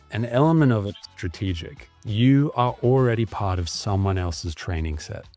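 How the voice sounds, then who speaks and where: A man's voice: fairly low pitch, a little mellow. A man with an Australian accent, a guest on a podcast, explaining fluently in a casual conversation over a video call.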